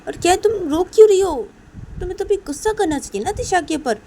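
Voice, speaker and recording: A woman's voice: very high voice, lively intonation, fast, mellow, a little hiss or hum, voice pushed up. A woman voicing an exasperated mother in a story, nagging insistently and repeating herself. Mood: scolding, urging. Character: nagging, exasperated, scolding mother of young women.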